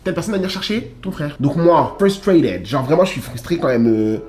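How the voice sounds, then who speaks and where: A young man casually telling a story to his sister, animated and full of slang, acting out the scenes. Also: a little high, a little fast.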